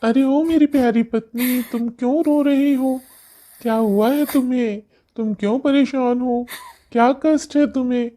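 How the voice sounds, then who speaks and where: A woman voicing an anxious, kindly male jackal husband in a children's animated moral story. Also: high-pitched, measured pace. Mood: worried, soothing. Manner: fretful, conciliatory.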